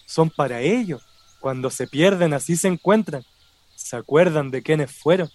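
A man's voice: fairly high pitch, moderate pace, darkish tone, dry recording, lightly acted. A man with a Chilean accent acting in a radio drama as a respectful, superstitious cemetery worker. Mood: earnest, solemn. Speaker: actor.

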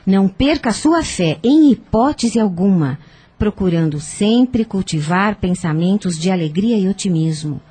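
A woman's voice: medium pitch, expressive, unhurried, warm tone, breathy edge. An actress with a Brazilian accent playing a kindly, devout older woman in a radio soap, earnest and instructive. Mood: solemn counsel. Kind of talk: radio soap opera acting.

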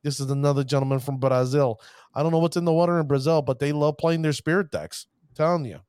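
A fairly high-voiced man runs a casual card-game show, pumping up his shout-outs with showman phrases. He stumbles over names and pushes on. The recording is dry and close with no room sound. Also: quick pace, clean tone, no echo. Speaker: podcast host. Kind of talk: hype-filled casual show talk.

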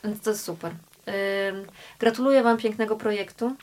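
A woman's voice: mid-range voice, fairly even intonation, normal speed, a little mellow, slight background noise. A woman hosting an interview, speaking effusively and emphatically as she praises her guests.

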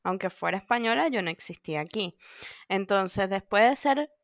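A woman co-hosting a podcast, telling stories in animated casual chat with quoted asides. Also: medium pitch, moderate pace, no room sound, studio quality. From Venezuela. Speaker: podcast co-host.

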